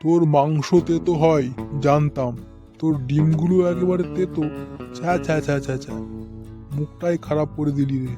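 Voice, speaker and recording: A man's voice: a little high, fairly even intonation, normal speed, music in the background, close to an everyday voice. A man playing an always hungry, bullying man-eating ogre in a cartoon, boastful, bossy and threatening. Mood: disgusted. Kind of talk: cartoon character acting.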